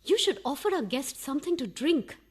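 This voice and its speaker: Casting: woman to play an imperious farce wife for radio, high-pitched, suspicious, haughty, with mocking wit. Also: big pitch swings, a little fast. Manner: haughty, sarcastic. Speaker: actor.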